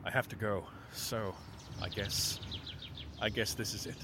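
An actor playing a departing military officer who speaks quietly and haltingly as he takes his leave. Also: on the low side, fairly even intonation, slight background noise. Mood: solemn farewell. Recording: outdoor birdsong and ambience. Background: birds, rural outdoor ambience.

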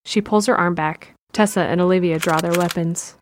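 A woman's voice: fairly low pitch, fairly even intonation, moderate pace, slight background noise. A woman reading scripted narration for an audio drama. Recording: music behind the voice.

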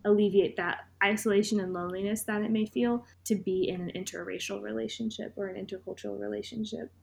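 Podcast guest: Tennessee woman, mid pitch, earnest and heartfelt delivery. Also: steady tone, fast talker, vocal fry, darkish tone. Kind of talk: personal conversation.

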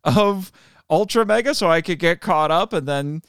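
Guy hosting a podcast, kinda high smooth voice, dry close sound, laughs a lot and fixes his own slips. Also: normal speed, fairly bright tone, dry recording. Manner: chatty, laughs often, corrects himself. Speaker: podcast host. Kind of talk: casual podcast chat.

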